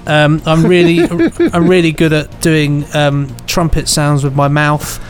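A man's voice: on the high side, measured pace. A British man co-hosting a podcast in casual, jokey, rambling banter. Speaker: podcast co-host. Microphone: headphones on, podcast microphone. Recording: music bed under the voice.

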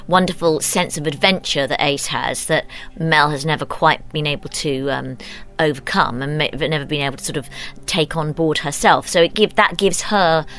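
A British woman with a lowish voice talks as an actress in an interview. She is thoughtful and discursive, working through her ideas as she speaks. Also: moderate pace, fairly bright tone. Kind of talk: behind-the-scenes interview.

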